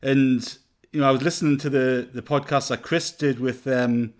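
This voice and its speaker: A man's voice: mid-range voice, steady tone, normal speed. Thoughtful man analysing on a remote call. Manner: thoughtful, rambling analysis with many fillers. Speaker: podcast co-host. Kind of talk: casual football podcast chat.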